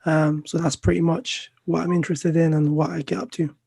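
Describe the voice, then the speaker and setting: A man's voice: fairly high pitch, little pitch movement, fairly quick, vocal fry, mellow, husky, no room sound, clean studio sound. A man in his twenties with a British accent speaking casually as a guest on a video call. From born in Ecuador, grew up in the UK. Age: 20s. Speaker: podcast guest. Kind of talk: casual self-introduction. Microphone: remote video call.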